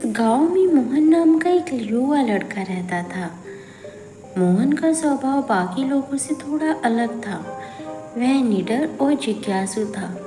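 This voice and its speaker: A woman with a fairly high voice narrates a spooky folk tale. Her delivery is slow and dramatic, drenched in long reverb. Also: dark tone. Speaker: storyteller. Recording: echoey long reverb, music underneath. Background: background music.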